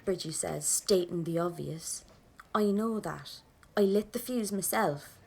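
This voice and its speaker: A woman's voice: on the low side, a little slow, creaky, a little mellow, slight background noise. An actress with a Dublin accent playing a defiant young rebel woman of the 1920s, telling her story in a colloquial, wry, dramatic way. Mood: indignant. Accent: Irish, Dublin. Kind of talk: first-person audio drama narration.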